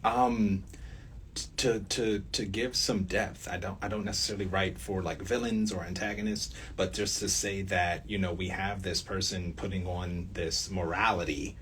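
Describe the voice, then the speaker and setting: A young man with a very deep voice chats casually as an interview guest. His talk is slangy and rambling, with animated storytelling. There is faint noise in the background. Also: moderate pace. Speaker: radio play writer being interviewed. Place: student radio studio.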